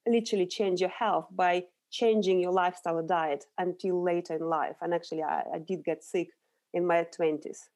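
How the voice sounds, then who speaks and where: Mellow-voiced Russian woman explaining. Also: on the low side, fairly even intonation, brisk. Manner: earnest, explanatory, with small restarts. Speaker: guest, nutritional therapist. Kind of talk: conversational interview answers.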